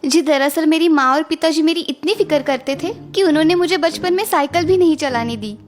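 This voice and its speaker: Young dutiful wife, played by a woman, high sweet voice, earnest, melodramatic, cartoon, music behind. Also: brisk, natural voice. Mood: wistful. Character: devoted, determined young wife, gentle and dutiful. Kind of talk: Hindi moral-story cartoon dubbing.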